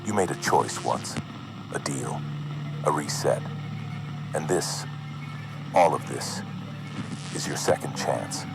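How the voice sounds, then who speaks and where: A man narrating an analog horror video as a cryptic, foreboding figure, slowly and deliberately in short, measured phrases. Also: very deep voice, vocal fry, background noise, exaggerated voice. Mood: ominous, cryptic. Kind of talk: slow scripted horror narration addressed to the listener. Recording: music under the voice.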